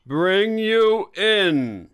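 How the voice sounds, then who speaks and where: A man's voice: high voice, sing-song, very slow, fairly bright tone, smooth, pitched above the performer's own voice, full character voice. A man voicing a commanding, short-tempered police inspector in an audiobook, stern and barking orders. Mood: stern warning. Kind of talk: audiobook character voice.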